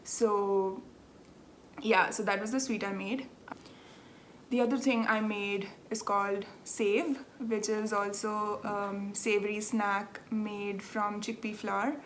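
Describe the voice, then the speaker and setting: A woman's voice: mid-range voice, little pitch movement, slow pace, fairly bright tone, a little hiss or hum. A young woman from South India, a Telugu speaker with an Indian accent, chatting casually as a podcast host, explaining things patiently and laughing at herself. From South India, Andhra Pradesh. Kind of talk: casual chat.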